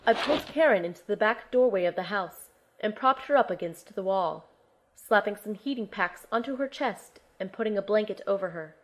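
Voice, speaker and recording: A woman plays a young, resolute team medic telling her own story in an audio drama. Her voice sits at an ordinary female pitch, with faint noise behind it. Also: expressive, normal speed, lightly acted, low-quality recording. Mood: tense, reflective. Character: determined young female field medic and team operative narrating her mission. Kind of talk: first-person audio drama narration with dialogue.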